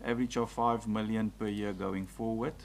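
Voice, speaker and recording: A gravelly-voiced man reports to council, reading figure after figure in a steady list with the odd "um". A faint hum sits under the chamber sound. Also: on the low side, moderate pace. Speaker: executive mayor.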